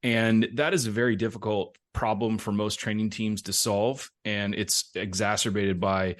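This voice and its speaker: Low-voiced middle-aged man being interviewed. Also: steady tone, moderate pace. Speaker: tech company CEO, interview guest. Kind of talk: conversational explanation.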